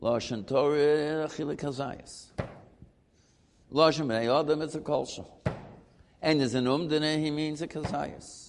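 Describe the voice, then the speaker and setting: A man teaching a Talmud class, lively and questioning as he works through the argument. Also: fairly high pitch, moderate pace, gravelly, long pauses, long reverb tail, a little hiss or hum. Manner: animated, questioning, mixing Hebrew terms.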